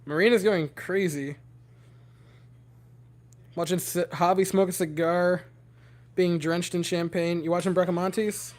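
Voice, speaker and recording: Hoarse young man chatting to his stream. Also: sits high, steady tone, measured pace, pauses often. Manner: giddy, rambling, talking to chat. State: hoarse, voice worn out. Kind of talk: casual livestream chat.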